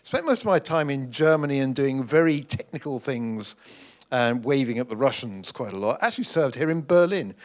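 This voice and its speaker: A man in his sixties introducing himself with jokes and lively storytelling. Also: mid-range voice, normal speed. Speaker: panelist, retired army officer.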